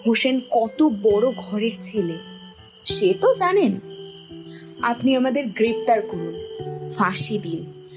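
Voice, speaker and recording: চড়া গলার মরিয়া, অনুনয়ী এক স্ত্রী. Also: female voice, a little high, expressive, music in the background, low-quality recording. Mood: despairing. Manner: pleading, emotional, slow and halting. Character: desperate adulterous young wife confessing to poisoning husband. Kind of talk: dramatised audio story acting.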